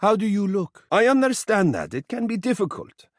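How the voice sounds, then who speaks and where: A male actor voicing a suave living mirror in a video game, an adoring flatterer who gushes with theatrical drama. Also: sits high, moderate pace, dry recording, studio quality. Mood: puzzled, encouraging. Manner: effusive, dramatic, gushing, doting. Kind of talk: video game character voice acting, romantic.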